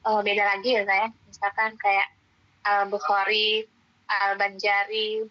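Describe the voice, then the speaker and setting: A woman's voice: medium pitch, monotone, a little slow, no echo. Woman, student, hesitant questions, dry, dull call audio. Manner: hesitant, checks understanding. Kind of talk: asking in an online class.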